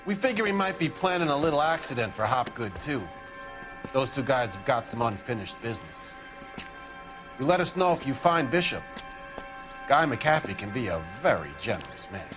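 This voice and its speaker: A man's voice: mid-range voice, sing-song, moderate pace, lightly acted, low-quality recording. An actor playing a sly, mocking gangster's henchman in a video game, speaking with insinuation. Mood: insinuating. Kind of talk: dramatic video game acting. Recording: music behind the voice.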